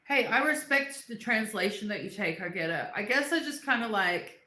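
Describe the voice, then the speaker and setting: A woman's voice: medium pitch, lively intonation, moderate pace, pushed and bright. Rambling woman livestreaming in an echoey room. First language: not English. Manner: rambling, personal, answers viewer comments. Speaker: livestream host reading chat. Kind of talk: casual livestream chat.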